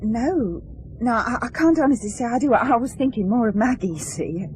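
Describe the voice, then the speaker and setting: A woman's voice: on the high side, lively intonation, moderate pace, darkish tone, breathy edge. An actress playing a chatty, scatterbrained English housewife and busy mother in a radio drama, hesitant and rambling, often stammering. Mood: distracted. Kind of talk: naturalistic radio drama acting. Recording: noisy radio drama recording.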